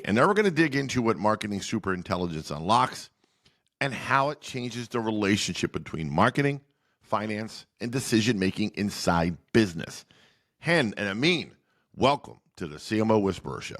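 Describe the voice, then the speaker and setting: Lively, low-voiced male podcast host. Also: normal speed. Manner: lively, self-deprecating about name pronunciations. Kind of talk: interview hosting.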